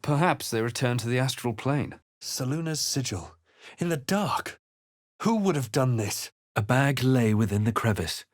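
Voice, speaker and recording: A man playing a grave, wary fantasy adventurer, delivering scripted game lines in a measured, dramatic way. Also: medium pitch, lively intonation, no echo, studio quality, natural voice. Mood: grave, haunted.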